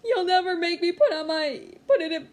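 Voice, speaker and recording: Woman acting out a high, wailing stubborn little boy. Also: animated, normal speed, darkish tone. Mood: tearful defiance. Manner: wailing, whiny protest. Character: upset ten-year-old boy, stubborn and tearful.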